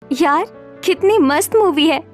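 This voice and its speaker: A spirited young modern woman with schemes in mind, from a story drama, acted by a woman. Her voice is high and her delivery expressive. Faint music plays behind her. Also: unhurried. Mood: excited, scheming. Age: young adult. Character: spirited, scheming young modern single women seeking housing. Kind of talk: acted Hindi story dialogue.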